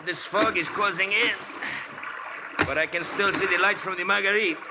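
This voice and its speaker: An actor playing a friendly, cautious yacht bosun with polite, hesitant, broken English in a 1940s radio drama. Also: male voice, high voice, moderate pace. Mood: wary, polite. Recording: very noisy vintage radio recording, water sounds.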